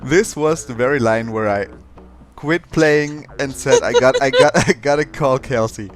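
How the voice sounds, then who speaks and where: A man's voice: medium pitch, a little slow. A man making casual asides as a voice performer in a game playthrough.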